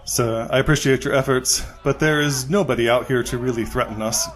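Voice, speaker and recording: An actor playing a skeptical but courteous expedition captain in an audio drama, speaking formally. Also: male voice, mid-range voice, steady tone, brisk, close to an everyday voice, rough recording. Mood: puzzled, courteous.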